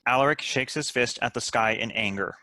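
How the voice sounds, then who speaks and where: A man's voice: medium pitch, fairly quick, sharp tone, dry recording. A man reading stage directions as scripted narration for a table read.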